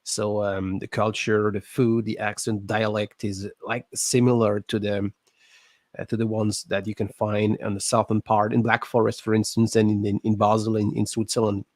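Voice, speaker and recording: A man from Alsace with a French accent, hosting a casual livestream chat, halting and restarting often. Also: on the low side, flat delivery, a little mellow, no echo. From Alsace, France. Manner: halting, many restarts and repeated words. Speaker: livestream host.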